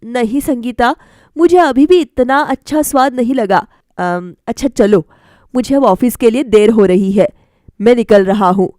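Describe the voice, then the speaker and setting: A woman voicing a reluctant but affectionate young husband in a storybook, hesitant and gently refusing. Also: on the high side, lively intonation, unhurried, no room sound, studio quality.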